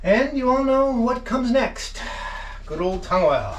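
A man's voice: extremely high pitch, big pitch swings, unhurried, a little hiss or hum. A middle-aged man narrating his wooden boat restoration casually while he works, enthusiastic and chatty, with fillers and laughs. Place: wooden boat cabin interior.

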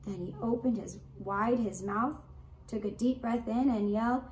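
Female storyteller for a children's puppet show, high voice, very measured with dramatic pauses, roomy sound. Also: darkish tone. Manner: slow, deliberate delivery with dramatic pauses. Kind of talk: children's storytelling, scripted narration. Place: indoor room with draped puppet stage. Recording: roomy echo, faint background noise.

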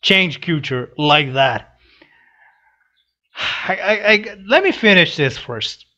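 A young adult man giving casual read-along commentary, halting and restarting his phrases as he goes. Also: fairly high pitch, animated, sharp tone, lo-fi sound. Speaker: reaction video host. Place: small room indoors. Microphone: headset boom mic.

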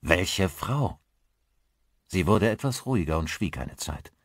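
A man narrating a thriller audiobook as scripted storytelling, with expressive phrasing. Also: low voice, fairly quick, no echo, clean studio sound.

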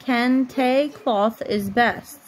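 A woman's voice: on the high side, extremely slow, low-quality recording. A woman reading a picture book aloud to her small child at bedtime, as a mother at home, recorded on a phone. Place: bedroom at home.

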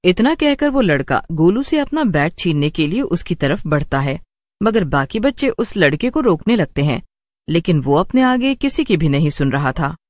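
A man's voice: sits high, no echo, studio quality. A man narrating a scripted children's horror story as a storyteller.

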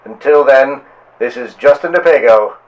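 A man hosting and narrating a pulp horror radio tale in a melodramatic, drawn-out manner. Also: medium pitch, dull audio. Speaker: host and narrator.

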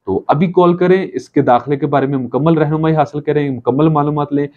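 A man's voice: on the high side, steady tone, fairly quick. A man in his thirties with a Pakistani accent gives a promotional talk to camera, often repeating and rephrasing his points. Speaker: college channel presenter. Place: small office, indoors. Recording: dull lo-fi camera audio.

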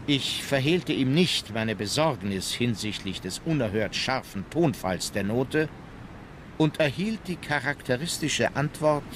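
An actor playing a sober naval officer, narrating a diary factually and formally in a historical radio drama. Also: male voice, medium pitch, animated, measured pace, a bit bright. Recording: clearly noisy outdoor background.

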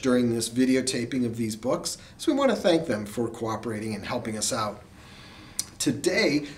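A middle-aged American man reading a children's story aloud in an animated storyteller's style. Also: medium pitch. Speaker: school communications director reading aloud. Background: music.

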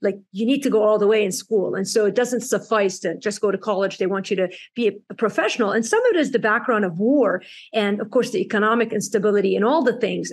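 A woman in her forties from Lebanon answers interview questions from her home over a laptop camera. She tells her story fluently and articulately, at an ordinary pitch. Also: darkish tone. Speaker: guest, doctor and author. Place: home room indoors. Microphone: laptop camera on a video call.

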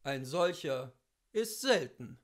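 A man reading classic literature aloud as a host, with deliberate, theatrical phrasing and weighty pauses. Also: fairly high pitch, animated. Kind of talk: expressive literary reading, scripted narration.

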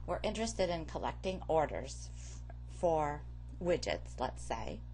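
Low-voiced woman giving a slide lecture. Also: darkish tone, rough recording. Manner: measured, explanatory. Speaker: course instructor. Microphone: voice-over over slides. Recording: faint background hum.

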